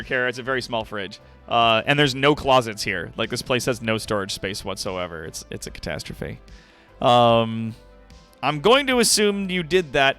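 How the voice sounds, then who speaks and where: A man's voice: fairly high pitch, pushed and bright, smooth. A man hosting a livestream, giving a dramatic reading of fan fiction with wry, sweary asides to viewers. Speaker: livestream host.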